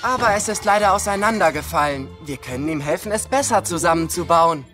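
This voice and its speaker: Confident boy leader, animated intonation, cartoon audio play, music behind. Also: a little high, brisk.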